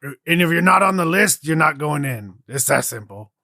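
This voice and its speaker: A man's voice: on the high side, expressive, moderate pace, gravelly, sharp tone, no room sound, clean studio sound, full character voice. A man voicing a heavy, stubborn guard who will not budge, jaw jutted out. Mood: stubborn, firm. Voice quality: jutting-jaw delivery.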